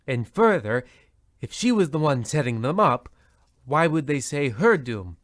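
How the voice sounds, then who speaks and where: A man's voice: mid-range voice, big pitch swings, a little slow, no room sound. Man as a pompous, dry-sounding radio narrator. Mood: pedantic. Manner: pompous, rambling, pedantic. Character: melodramatic radio narrator, pompous and easily sidetracked. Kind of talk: parody of old-time radio narration.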